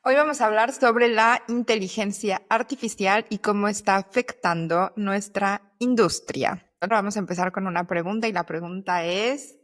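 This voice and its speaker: A woman's voice: medium pitch, moderate pace, hardly any pauses. A woman co-hosting a podcast in casual Spanish chat, thinking aloud and adding questioning tags. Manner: thoughtful, adds tag questions. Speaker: podcast co-host.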